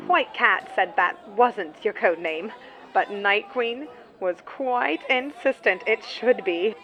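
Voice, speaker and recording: A woman's voice: mid-range voice, slow, fairly bright tone. A woman voicing a breezy, overly polite, eccentric young woman heard through a tinny, crackling communicator. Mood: cheerful, breezy. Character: chirpy eccentric young woman speaking through a mechanical messenger insect. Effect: tinny, crackling communicator filter. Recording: muffled tinny filter over crowd noise.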